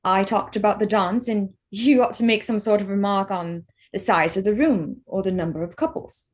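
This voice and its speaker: Woman playing outspoken young lady in a period drama reading, earnest and spirited, mid pitch. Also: normal speed, natural voice. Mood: arch, teasing. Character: Lizzie Bennet, candid, spirited, indignant young gentlewoman.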